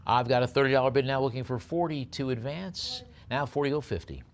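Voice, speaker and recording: A man working as an auctioneer at a live sale, moving between repetitive bid chanting and descriptions of the lots. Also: medium pitch. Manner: rapid repeated bid chant alternating with unhurried item descriptions.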